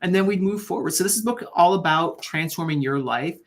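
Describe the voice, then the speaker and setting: A man's voice: sits high, fairly quick. A man speaking as an interview guest, upbeat and faith-driven, often checking in with the listener. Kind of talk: motivational interview answers.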